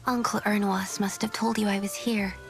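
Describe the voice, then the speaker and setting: An actress playing a gentle, devout young oracle in a video game cutscene, soft-spoken, pensive and solemn. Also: female voice, medium pitch, steady tone, normal speed, creaky, music in the background, natural voice.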